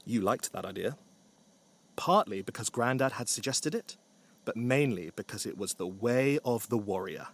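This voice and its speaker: A man's voice: lowish voice, lively intonation, moderate pace. A man narrating a scripted story with wry, dry humour.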